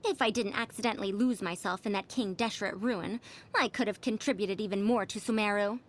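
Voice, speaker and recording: A woman's voice: medium pitch, a little fast, lightly acted. A woman voicing a proud, fussy century-old scholar with a youthful girlish voice, pompous and lecturing, for a video game. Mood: proud, resolute. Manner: pompous, lecturing, old-fashioned elder phrasing. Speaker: game character voice. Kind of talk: animated video game voice lines.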